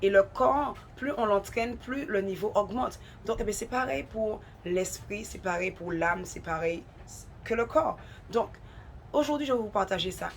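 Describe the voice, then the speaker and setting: A woman's voice: medium pitch, a little hiss or hum. A woman with a head cold and a runny nose gives an earnest devotional talk, urging listeners on and repeating points for emphasis.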